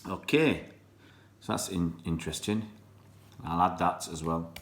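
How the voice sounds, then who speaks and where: A deep, low-pitched man talks casually to his live-stream viewers. He is middle-aged, chatty and a bit rambling, and he greets people by name as he reads their comments. The chat is loose and unhurried. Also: a little hiss or hum. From born in Pakistan, raised in England. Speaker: fan channel live streamer.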